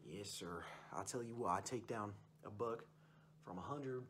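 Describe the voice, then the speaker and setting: Young man doing a low-voiced folksy wild uncle. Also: moderate pace, fairly bright tone, a little hiss or hum, natural voice. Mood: boastful, enthusiastic. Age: young adult. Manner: folksy, rambling, repeats stock phrases. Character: wild rustic uncle, boastful hunting and fishing enthusiast. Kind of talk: ASMR roleplay. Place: small room indoors.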